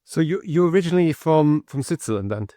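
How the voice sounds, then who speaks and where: A male podcast host asking questions in a casual interview, halting and repeating words as he goes. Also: fairly high pitch, animated, dark tone, dry recording, clean studio sound.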